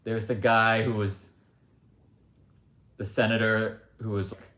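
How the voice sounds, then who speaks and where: A man's voice: fairly low pitch, level tone, slow pace, a bit bright. A man hosting a livestream, talking in a blunt, rambling, opinionated way with lots of asides. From United States. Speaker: livestream host. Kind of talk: casual opinionated monologue. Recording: roomy echo.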